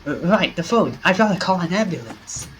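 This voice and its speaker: A man's voice: sits high, lively intonation, brisk, pitched above the performer's own voice, poor audio. A man reading as a shaken young man. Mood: panicked. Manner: stammering, panicky, then quietly resolved. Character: frightened young man, friend has collapsed. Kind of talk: reading a visual novel aloud. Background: game music.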